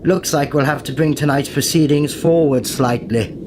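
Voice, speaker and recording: An actor playing a haughty, villainous occultist lord in a radio play, pompous, sardonic and commanding. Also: male voice, a little high, normal speed, close to an everyday voice. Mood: impatient, commanding. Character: haughty villainous lord leading an occult ritual, suave and menacing. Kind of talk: old-time radio play acting. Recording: noisy recording with clicks.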